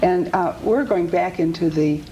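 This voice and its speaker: A woman with a fairly low voice hosts a show from a table beside a stage, chatting casually and answering with encouraging little remarks. The recording has a clear noisy hiss around her. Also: moderate pace, warm tone, lo-fi sound. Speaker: talk show host. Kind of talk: casual on-location chat. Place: supper club table near a stage.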